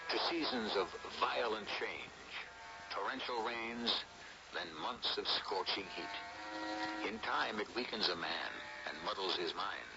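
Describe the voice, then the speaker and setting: Low, sober radio narrator. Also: male voice, vocal fry, over music. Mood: grave. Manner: measured, formal. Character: sober, reflective male narrator of a jungle tale. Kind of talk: old-time radio drama narration. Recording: very noisy, dull vintage radio recording.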